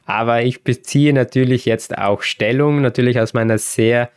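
A man hosts a podcast, recounting his travels in a relaxed, chatty way. His voice sits at an ordinary middle pitch, and he pauses now and then with small hesitations. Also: clean tone. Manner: relaxed storytelling with occasional laughs and hesitations. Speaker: podcast host. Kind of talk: casual podcast chat.

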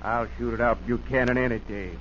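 An actor in a 1940s radio drama playing a hot-headed, vengeful farmer with a scornful, rustic way of talking. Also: male voice, mid-range voice, steady tone, a little fast, a bit bright, close to an everyday voice. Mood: belligerent. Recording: muffled, slightly noisy 1940s radio.

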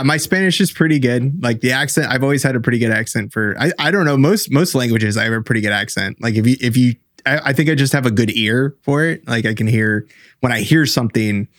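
A man of middle pitch co-hosts a podcast, speaking casually and tentatively with frequent restarts and hedges. His voice is dry and close to the mic. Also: fairly bright tone, no room sound. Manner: hesitant, hedging, full of restarts. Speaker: podcast co-host. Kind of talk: casual chat.

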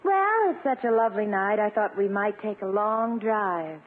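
Coy sweetheart on tinny radio. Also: female voice, medium pitch, expressive, normal speed, slightly rough. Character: eager, coy lady sweetheart of a bachelor. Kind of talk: 1950s radio comedy acting. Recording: tinny, faintly noisy vintage radio broadcast.

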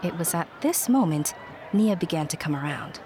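A woman's voice: lowish voice, lively intonation, normal speed, background noise. A woman giving scripted storybook narration, addressing the listener as a storyteller. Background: music.

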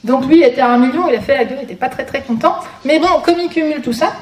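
A woman's voice: sits high, rapid-fire. A woman giving an informal public lecture as a guest speaker, with wry, sarcastic asides. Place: conference room before an audience. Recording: echoey room, distant mic, noisy.

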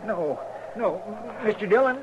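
High-voiced, folksy frontier sidekick. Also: male voice, animated, measured pace, highs cut off. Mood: alarmed. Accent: rural American drawl. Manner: folksy, deferential, fretful. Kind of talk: 1950s radio western acting. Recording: noisy vintage radio recording.

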